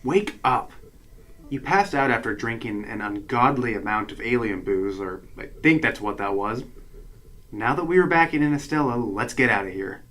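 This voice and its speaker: An actor playing the exasperated, sarcastic voice of reason in a hero's head in a comedic radio drama. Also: male voice, medium pitch, lively intonation, normal speed, a bit bright. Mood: scolding. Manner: sarcastic, wry, worrying. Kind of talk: comedic sci-fi radio drama narration and dialogue. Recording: clear background noise.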